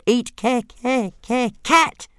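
A man voicing a small, greedy young dragon in a storybook, shrill and demanding, stuttering and repeating his words. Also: slow pace, a bit bright, clean tone, no room sound, clean studio sound, heavily acted. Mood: scheming.

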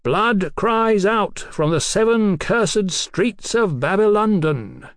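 Fanatical villain in an audiobook, really high voice, swoops around, theatrical and sneering taunts. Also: male voice, animated, slow pace, no room sound, clean studio sound. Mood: coaxing, ominous. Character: Captain Swing, fanatical taunting male revolutionary villain.

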